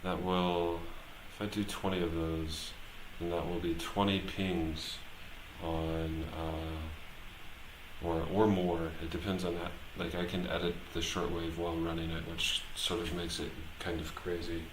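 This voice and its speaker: A man's voice: sits low, flat delivery, measured pace. A man talking casually and unscripted on a live stream, hesitant and rambling as he thinks aloud. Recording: echoey room, clear background noise.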